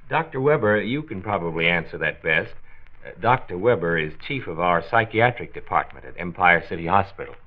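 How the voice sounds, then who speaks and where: A low-voiced, authoritative radio doctor. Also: male voice, lively intonation, muffled recording. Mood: courteous, earnest. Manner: formal, courteous, deliberate. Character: authoritative male county health doctor, narrator and host. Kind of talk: 1940s radio drama narration. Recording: thin old radio recording with music.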